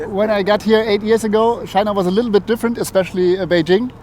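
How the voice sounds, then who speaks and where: A man with a German accent answering casually in a street interview, halting as he searches for words. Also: high voice, fairly even intonation, a little mellow. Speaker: interviewee, foreign resident. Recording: faint outdoor traffic noise. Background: faint urban street noise.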